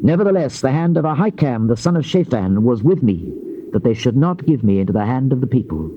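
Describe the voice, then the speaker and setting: A man narrating a dramatized Bible reading in a solemn, measured, emphatic oratorical delivery. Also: mid-range voice, lively intonation, dark tone. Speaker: scripture narrator. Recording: dull noisy old cassette tape.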